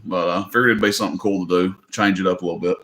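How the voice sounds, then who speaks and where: A man's voice: low voice, fairly even intonation, very fast, fairly bright tone. Easygoing man running a live auction. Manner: easygoing, rambling, repeats himself. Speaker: livestream auction host. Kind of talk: casual live-stream auction talk. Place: indoors at home.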